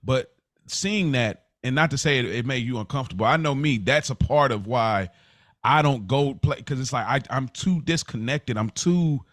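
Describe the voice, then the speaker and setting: A man in casual podcast conversation, earnest and halting, repeating phrases for emphasis. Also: mid-range voice, lively intonation, a bit bright, no echo, clean studio sound. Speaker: podcast co-host.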